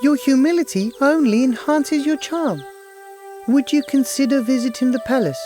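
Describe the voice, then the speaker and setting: A man's voice: extremely high pitch, animated, normal speed, over music. A man voicing a courteous young prince in a fairy tale, formal and courtly in manner. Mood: admiring, courteous. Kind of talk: fairy tale character acting.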